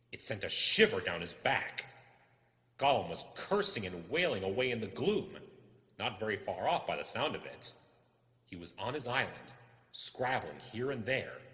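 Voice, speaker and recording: Male narrator, lowish voice, slow deliberate delivery, theatrical suspense, echoey reverberant sound. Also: pushed and bright. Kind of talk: dramatic storybook narration, suspenseful. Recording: echoey room with long reverb.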